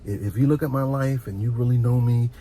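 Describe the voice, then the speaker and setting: A middle-aged man giving an informal, heartfelt advice monologue as a host, speaking earnestly and reflectively, often halting and repeating words. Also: mid-range voice, fairly even intonation, dark tone, a little hiss or hum. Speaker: host of a relaxation and advice channel.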